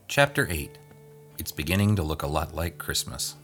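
A man's voice: extremely low pitch, big pitch swings, normal speed, music in the background. A man reading a scripted story aloud as narrator. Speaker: guest reader.

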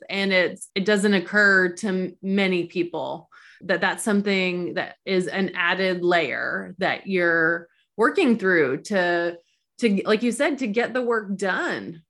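A woman's voice: mid-range voice, fairly bright tone. A woman hosting an interview, reflective and thinking aloud with fillers as she responds to her guest. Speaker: interviewer, host. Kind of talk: conversational interview.

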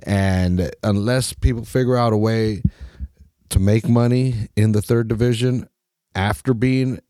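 A man from Mexico, hosting a podcast in a profane, animated rant full of mocking voices. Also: medium pitch, no room sound, clean studio sound. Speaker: podcast host. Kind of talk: casual opinionated rant.

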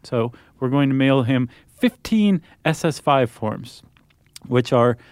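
Male American podcast co-host, higher-set voice, wry casual explainer, dry close recording. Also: lively intonation, slow, no room sound. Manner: wry, joking asides.

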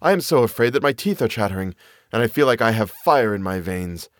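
A man's voice: on the low side, animated, brisk, dry recording, studio quality, natural voice. A man voicing an anxious, guilt-ridden wicked mother in a fairy tale, fretful and dramatic. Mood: uneasy dread.

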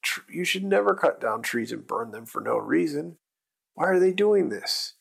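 A man's voice: fairly high pitch, very animated, normal speed, vocal fry, a bit bright, husky, pitched above the performer's own voice, heavily acted. A man voicing an earnest young hero of a children's story, speaking simply. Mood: indignant.

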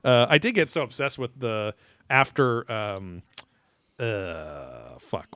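A man's voice: fairly low pitch, lively intonation, takes it slowly, bright, forceful tone, no room sound. Casual, profane man on a dry mic. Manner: rambling, profane, reads quotes aloud theatrically. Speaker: podcast host. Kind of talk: casual episode recap chat.

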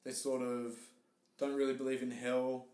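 A man with an Australian accent, a guest telling his story in casual interview chat, relaxed and hesitant with many fillers. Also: mid-range voice, moderate pace. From Tasmania, Australia. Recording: roomy echo.

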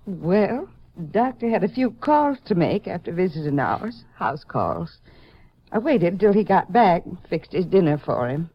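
A woman's voice: fairly low pitch, big pitch swings, measured pace, breathy edge, full character voice. Southern woman, country doctor's helper, guarded, polite, hesitant, very varied intonation, 1950s radio drama, muffled sound.

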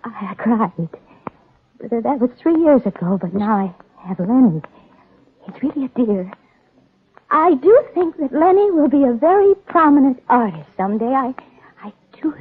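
A dazed young heiress in a vintage radio drama. Her voice is high and breathy, halting over words and repeating them as she unravels. It comes through a thin, old narrow-band recording. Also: very animated, full character voice. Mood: confused, halting. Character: fragile, dazed, lonely rich young woman, unravelling. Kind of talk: 1940s radio acting.

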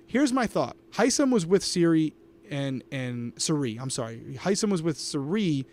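A man's voice: on the high side, sing-song, moderate pace, darkish tone. A man co-hosting a live podcast recap, talking to his co-host and the chat with emphatic opinions on a studio microphone. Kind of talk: casual live-stream chat.

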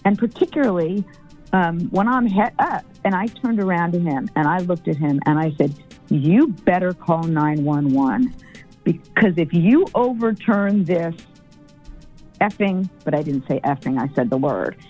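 A woman, a former team executive, telling a story in a documentary interview in a loud, forthright way. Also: fairly low pitch, a little slow, highs cut off, soft background music.

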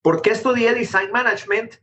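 Guatemalan man, thirties, lively storyteller, slightly high bright voice. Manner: animated, quick, jumps between anecdotes. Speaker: interview guest, tech founder. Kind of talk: conversational storytelling.

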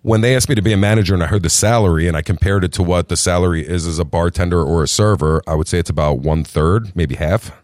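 A middle-aged man with a very low, deep voice tells his story in an easygoing, chatty way. The sound is dry and close, with no room around him. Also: fast talker, hardly any pauses, dry recording. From New Jersey. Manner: relaxed, chatty, calls the host "brother". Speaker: restaurant group founder, podcast guest. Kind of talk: casual interview storytelling.

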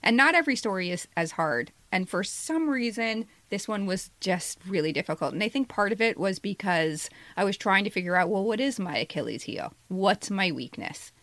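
A woman's voice: medium pitch, expressive, moderate pace, hardly any pauses, a bit bright, no room sound. A woman in her fifties, a podcast co-host and writer, reflecting casually and candidly with a self-deprecating manner. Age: 50s.

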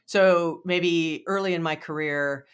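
Chatty host, loose rambling questions, laughs easily, mid-range pitch. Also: steady tone, takes it slowly, clean tone. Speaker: interview host. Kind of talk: conversational interview questions.

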